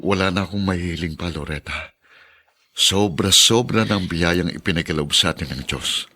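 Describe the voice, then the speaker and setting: Low, bright-voiced kindly father. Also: normal speed. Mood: tender, earnest. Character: kind, humble, devout working-class father and husband. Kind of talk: radio drama acting.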